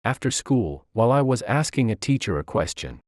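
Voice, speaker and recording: A man's voice: mid-range voice, very animated, moderate pace, darkish tone, no echo, clean studio sound. A man reading a scripted dramatic story as its narrator.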